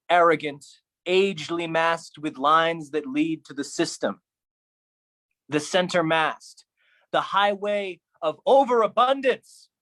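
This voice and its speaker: Slow, deliberate theatrical spoken-word performer. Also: mid-range voice, fairly bright tone, low-quality recording. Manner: dramatic, deliberate, heavily emphatic delivery. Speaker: monologue performer.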